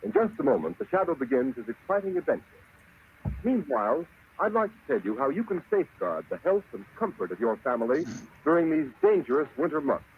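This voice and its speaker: An American man works as an old-time radio announcer, formal and emphatic, with a lively, swooping delivery at a fairly high pitch. The recording is tinny and narrow, like a vintage broadcast. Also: brisk, slight background noise. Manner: emphatic, formal, dramatic salesmanship.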